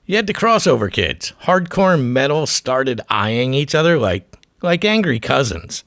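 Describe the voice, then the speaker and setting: A man delivers scripted music documentary narration in short, clipped phrases. His pitch swings in a lively way and his voice has a gravelly fry. The delivery is irreverent and punchy, recorded very dry. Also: a little slow, no room sound.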